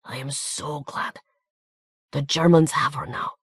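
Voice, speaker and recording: A man's voice: on the high side, steady tone, unhurried, fairly bright tone, slightly rough, dry recording. Man plays a talkative, nervous little man with a Hungarian accent; urgent whispers, dry booth. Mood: anxious whisper.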